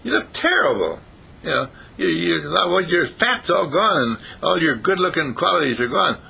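An excitable storybook rabbit, acted out by a man, pitched up high with swooping intonation. He is cheeky, chummy and quick to doubt, exclaiming in a bright, forceful voice. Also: gravelly, breathy edge, full character voice, poor audio. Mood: incredulous, blunt.

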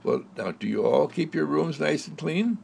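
A man voicing Mister Candle, a kindly talking candle, in a soft, soothing, praising manner. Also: a little high, lively intonation, normal speed, vocal fry, slightly rough, voice pushed up, heavily acted. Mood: gentle.

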